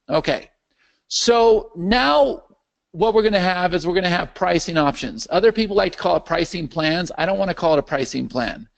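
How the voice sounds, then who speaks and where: A man with a slightly high, gravelly voice leads a live video call over his computer microphone, walking users through his software. He is losing his voice after a long day, and he often stops to ask whether everyone is following. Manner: animated, checks in with the audience often. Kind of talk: live webinar Q&A with screen share.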